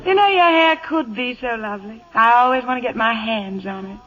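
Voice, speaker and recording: A woman playing a high-voiced, gushing, vain stage diva. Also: brisk, a bit bright, big-room sound, natural voice. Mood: catty, breezy. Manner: affected, theatrical endearments. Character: vain, gushing, catty glamorous stage actress. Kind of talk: 1950s radio comedy acting. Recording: muffled vintage radio recording.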